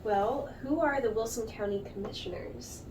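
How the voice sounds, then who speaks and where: A woman presents and interviews on a local news programme. Her voice is a little high. She sits away from the mic, with faint noise behind her. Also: moderate pace, darkish tone. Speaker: news show host and interviewer. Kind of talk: scripted show introduction and interview questions. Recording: distant mic, noticeable background noise.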